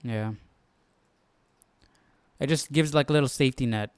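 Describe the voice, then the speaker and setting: Male Bible study host, smooth clear voice, dry close sound, informal and searching delivery. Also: medium pitch, normal speed, no echo. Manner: tentative, often checks with "right?". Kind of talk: casual explanatory commentary, thinking aloud.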